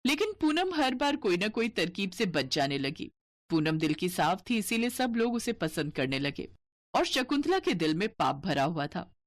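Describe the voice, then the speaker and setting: A woman's voice: lowish voice, big pitch swings, moderate pace. A woman narrating a scripted cartoon story as its storyteller.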